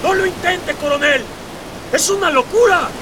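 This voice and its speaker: Very high-voiced naive Mexican lad. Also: male voice, moderate pace, far from the mic, background noise. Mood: warning. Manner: colloquial, slangy. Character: naive, soft-hearted young Mexican guy, slangy adventurer. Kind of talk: radio drama acting.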